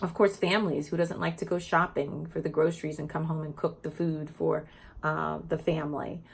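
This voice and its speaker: A woman's voice: low-pitched, level tone, moderate pace, vocal fry, slightly rough. A woman teacher giving an informal, explanatory lesson built around reading a children's picture book aloud. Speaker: teacher presenting educational read-aloud videos for teachers.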